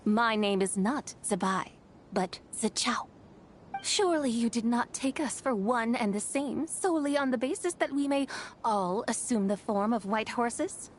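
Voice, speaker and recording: Haughty, high-voiced female spirit, acted by a woman. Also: a little slow, a little hiss or hum, close to an everyday voice. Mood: aloof, teasing. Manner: theatrical, archaic, teasing formal speech. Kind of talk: fantasy game cutscene acting. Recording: music behind the voice.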